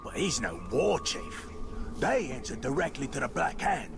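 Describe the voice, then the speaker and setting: An actor playing a scheming, boastful, cowardly orc underling in a video game, with a gravelly voice and a conniving manner. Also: male voice, mid-range voice, very animated, normal speed. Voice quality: gravelly, creaky. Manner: speaks of himself in third person, conniving. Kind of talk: video game character acting. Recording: music under the voice.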